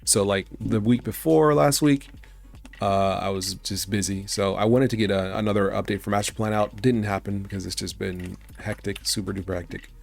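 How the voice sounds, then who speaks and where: A young man, raspy from just getting over being sick, chats casually and thinks aloud while working on his game on a live stream. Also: fairly low pitch, steady tone, normal speed. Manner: laid-back, rambling, thinking aloud. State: raspy voice, just recovering from illness. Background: faint background music and keyboard typing.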